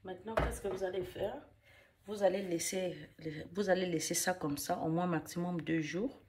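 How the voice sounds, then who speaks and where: Woman vlogger with a cold, low voice, chatty rambling how-to. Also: steady tone, moderate pace, background noise. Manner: rambling, repeats herself, many fillers. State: has a cold and cough. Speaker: hair care vlogger. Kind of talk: casual how-to demonstration.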